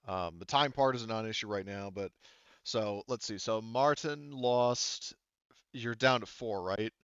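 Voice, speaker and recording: A man with a low, smooth voice runs a tabletop role-playing game. He explains rules in a casual, halting way, often rewording himself. The sound is dry and slightly dull. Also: a bit bright, no room sound. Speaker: game master.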